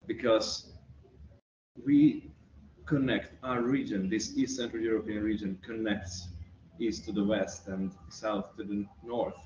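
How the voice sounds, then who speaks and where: A young Hungarian man with a Hungarian accent, a researcher answering interview questions thoughtfully, pausing often with fillers, recorded by a camera across an office room by a window. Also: lowish voice, darkish tone. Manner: thoughtful, with many pauses and fillers. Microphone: far camera. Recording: roomy, distant, muffled, faint hiss.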